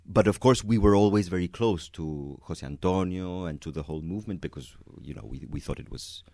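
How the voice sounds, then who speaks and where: A man's voice: deep voice, fairly quick, darkish tone, dry recording, studio quality. A man from Venezuela, a concert pianist, answering interview questions in a hesitant way, with many restarts and light chuckles.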